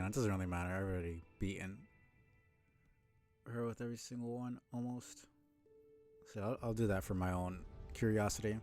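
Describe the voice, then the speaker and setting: A man with a low voice giving casual, informal live commentary as a video game streamer, thinking out loud. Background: game music behind the voice.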